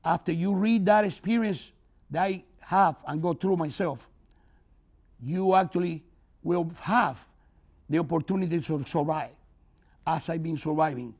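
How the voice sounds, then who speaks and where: An older man giving a closing speech to an audience as an author. He speaks in an earnest, preaching way, with simple phrasing and big swings between loud and soft. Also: on the high side.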